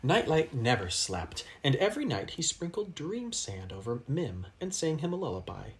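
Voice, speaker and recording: A man reads a storybook aloud in a medium-pitched, very animated voice. He is dramatic and pauses for effect, over faint background noise. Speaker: storybook reader. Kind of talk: expressive children's story read-aloud.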